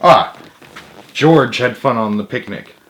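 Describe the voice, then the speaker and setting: A man hosts a storybook read-aloud at an unhurried pace. His pitch moves up and down a lot, and he breaks off for casual comments of his own. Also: lively intonation, fairly bright tone. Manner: chatty, interjects own comments and chuckles. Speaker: story reader, host of a read-aloud series. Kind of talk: picture-book read-aloud with casual asides.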